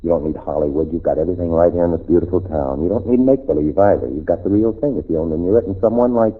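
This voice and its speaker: A man playing a suave, kindly, slightly bemused movie star in a 1940s radio comedy, with a husky, gentlemanly delivery. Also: deep voice, racing. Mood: gently fatherly. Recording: tinny, narrow-band old radio recording.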